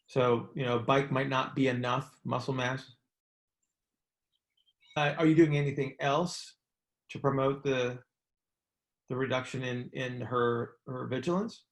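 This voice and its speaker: Male coach, fry-edged voice, explanatory and conversational, often checking understanding; roomy, echoing sound. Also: mid-range voice, steady tone, a little slow, long pauses. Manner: explanatory, checks in with rhetorical questions. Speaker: coach and mentor hosting a group call. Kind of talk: conversational expert advice. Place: indoor room, on a conference call.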